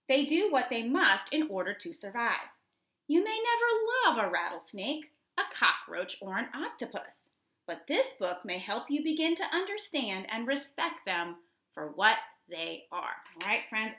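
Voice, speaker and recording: A woman teacher reading children's nonfiction aloud, addressing young listeners directly with deliberate, instructional phrasing. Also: fairly high pitch, sharp tone, roomy sound.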